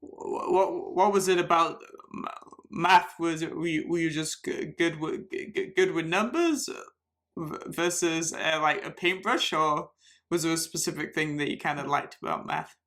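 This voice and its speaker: A man's voice: a little high, normal speed, a bit bright. A man hosting a casual tea podcast interview, upbeat and chatty, often stammering and restarting his questions. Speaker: podcast host.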